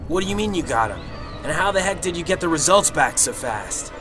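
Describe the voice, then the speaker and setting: A man's voice: fairly high pitch, sing-song, fairly quick, over music. A man playing a cynical, sarcastic detective in an amateur radio drama, with a blunt, grumbling delivery. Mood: tense, blunt. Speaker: actor.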